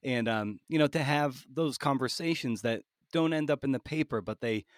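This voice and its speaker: Male podcast host, average pitch, very dry recording, casual and halting as he thinks aloud. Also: no room sound. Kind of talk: casual conversational interviewing.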